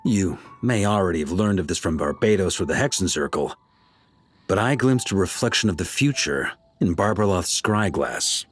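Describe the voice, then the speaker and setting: An actor playing a seasoned, easygoing knight grandmaster in a video game, speaking in a laid-back, wry way. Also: male voice, deep voice, lively intonation, normal speed, soft background music. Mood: matter-of-fact. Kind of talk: video game character dialogue.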